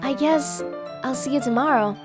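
A woman's voice: high-pitched, moderate pace, natural voice. A woman voicing a bashful, polite, hesitant teenage schoolgirl from a visual novel. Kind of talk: visual novel character reading. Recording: music behind the voice.

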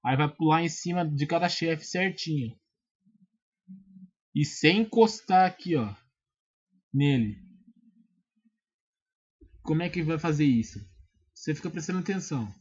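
A Brazilian man giving a casual, informal screen-recorded software tutorial, often checking that the listener follows. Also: a little high, expressive, unhurried, pauses often, breathy edge, muffled recording. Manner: informal, repetitive check-in phrases. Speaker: tutorial maker. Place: indoors at a computer.

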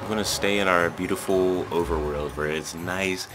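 A young man bantering casually with friends during gameplay, excitable, slangy and quick to swear. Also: sits low, loud music behind the voice. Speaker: gaming video creator.

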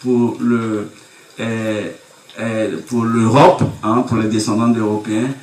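A man's voice: fairly low pitch, level tone, slow pace, a little mellow. A middle-aged man giving an academic conference lecture, emphatic and rhetorical, repeating key phrases as he speaks at a table mic. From Côte d'Ivoire. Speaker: university lecturer. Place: conference room with projector screen. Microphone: table mic on stand, recorded by far camera. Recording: echoey room from far off.

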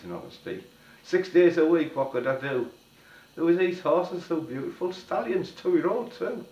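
An older man with a cold-hoarsened voice, telling a rhyming spoken-word story to camera in a sing-song way, seated on a sofa in a small living room. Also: a little high. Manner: sing-song rhyming delivery with a repeated tag. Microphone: camera at a distance. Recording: roomy, distant, dull-sounding home video.

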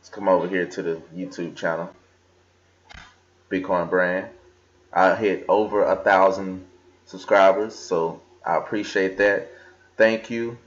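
Deep-voiced young man, laid-back crypto vlog. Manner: casual, filler-heavy, talks while scrolling and reading aloud. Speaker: crypto vlogger. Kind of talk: casual screen-recorded walkthrough. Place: indoors at a desk. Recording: slightly dull lo-fi computer audio.

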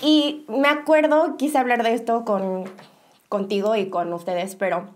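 Young woman podcast host, mexican spanish accent, giggly and chatty, highish voice. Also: steady tone, unhurried. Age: young adult. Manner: bubbly, laughing often. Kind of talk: casual chat.

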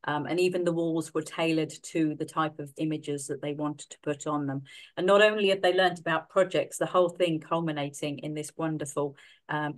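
A middle-aged woman with a very low voice hosts an online exhibition opening. She guides her listeners gently, with frequent fillers, over a webcam on a video call. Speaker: mentor and event host.

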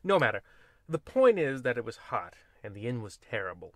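A man's voice: mid-range voice, big pitch swings, fairly quick, fairly bright tone, dry recording. A man narrating in the style of an old-time horror radio host, played for comedy, with rambling, folksy and wry asides. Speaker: host and storyteller of a radio anthology.

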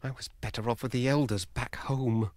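An actor playing a mild, wondering young wizard in an adventure game, in noisy old game audio. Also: male voice, medium pitch, fairly even intonation, normal speed, darkish tone, lightly acted.

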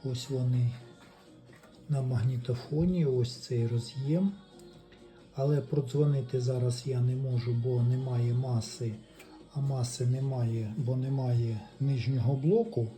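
A man gives casual step-by-step repair commentary as a hobbyist, thinking aloud in an unhurried, tentative way. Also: medium pitch, steady tone, mellow, faint music behind. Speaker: hobbyist repairer presenting a DIY video.

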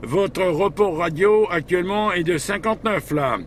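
Man, higher voice, radio operator chat, procedural phrasing, tag words, faint vehicle noise. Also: moderate pace. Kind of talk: radio contact chat with spelled-out call signs. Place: inside a parked vehicle.

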